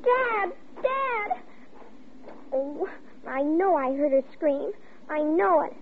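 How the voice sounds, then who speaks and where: Tinny noisy old radio, a very high-voiced anxious little girl, pleading and urgent. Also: very animated, takes it slowly, full character voice. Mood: tearful, desperate. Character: anxious, insistent young girl pleading with her father. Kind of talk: 1940s radio acting.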